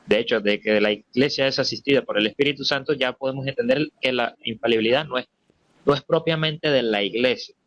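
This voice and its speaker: A man's voice: mid-range voice, a little fast, a bit bright, dry recording, dull audio. A man taking part in a spontaneous religious discussion, searching hesitantly for precise wording as he reasons. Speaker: debate participant.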